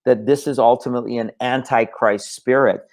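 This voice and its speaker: A man's voice: medium pitch, steady tone, a little fast, a little mellow. A man from Iran, a guest on a talk show, speaking earnestly with frequent stammered restarts. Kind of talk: casual talk show conversation.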